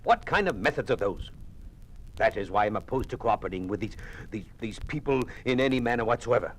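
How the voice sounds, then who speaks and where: A man's voice: on the low side, animated, brisk, slight background noise, close to an everyday voice. An American man acting as an outraged, ousted lieutenant governor in an old radio drama, earnest and to the point. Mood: indignant. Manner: earnest, indignant.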